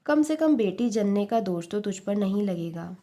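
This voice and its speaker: Woman voicing an earnest mellow middle-aged mother. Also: medium pitch, animated, normal speed, close to an everyday voice. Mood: relieved.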